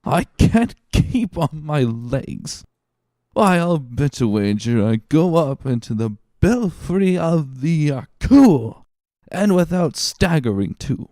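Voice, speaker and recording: A man voicing a good-hearted, stubborn drunk in a dramatic reading, with slurred, drawn-out speech. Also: on the high side, very animated, dark tone, no room sound, studio quality, pitched above the performer's own voice. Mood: boastful, drunk.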